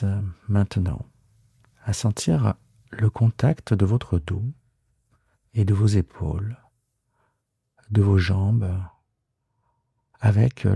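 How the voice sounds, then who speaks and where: A man guiding a relaxation meditation in a soft, husky, gravelly voice, soothing and unhurried, with hesitant pauses. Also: on the low side, dry recording, studio quality. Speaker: yoga nidra guide and podcast host.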